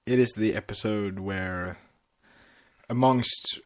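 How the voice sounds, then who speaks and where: A man co-hosting a casual fan podcast, chatting and reading notes in a halting way, sometimes repeating himself. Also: fairly low pitch. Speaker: podcast co-host.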